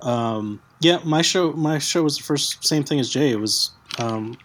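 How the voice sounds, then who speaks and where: A man's voice: fairly high pitch, fairly bright tone. Hesitant man artist. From United States, raised in Michigan. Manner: hesitant, halting start-stop delivery. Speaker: comic artist.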